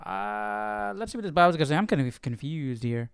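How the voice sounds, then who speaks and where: A man's voice: mid-range voice, big pitch swings, normal speed, clean tone, dry recording, clean studio sound. A man hosting an informal Bible study, reading aloud and puzzling through the text hesitantly as he comments. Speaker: host of a live Bible study.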